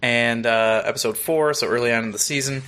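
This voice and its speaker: An American man speaks in a clear-edged, bright voice of medium pitch. He chats loosely as a podcast co-host, rambling a little and poking fun at himself. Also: brisk. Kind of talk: casual chat, reviewing a TV episode.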